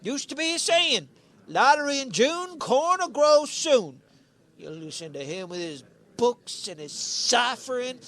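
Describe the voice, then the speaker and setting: Crotchety, high-pitched old village grandsire. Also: male voice, big pitch swings, measured pace, a bit bright, heavily acted. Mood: scornful. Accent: American. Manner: cantankerous, scolding, folksy. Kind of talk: radio drama acting.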